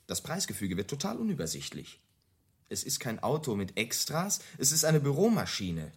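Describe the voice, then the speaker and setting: A man's voice: medium pitch, huge pitch swings, normal speed, slightly rough, exaggerated voice. An actor playing a blunt, disillusioned and contrary marketing man in a naturalistic radio drama, dryly contradicting. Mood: insistent.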